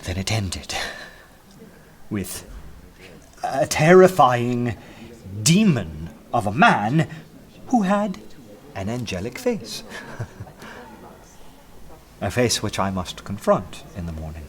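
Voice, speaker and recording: An actor playing a haunted, earnest detective in a radio drama, intense, fearful yet resolute. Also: male voice, medium pitch, huge pitch swings, takes it slowly, long pauses. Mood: haunted, tender.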